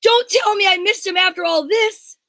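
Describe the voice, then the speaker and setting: A woman's voice: very high-pitched, normal speed, pushed and bright, dry recording, studio quality, exaggerated voice. A woman playing a flustered, puzzled male police inspector in an old-time radio detective drama, chatty and exclamatory.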